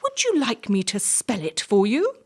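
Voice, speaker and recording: A woman playing a ruthless, entitled young assessor in a stage play, brisk, condescending and coolly domineering under a veneer of charm. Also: a little high, wildly swooping pitch, heavily acted. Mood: cold menace.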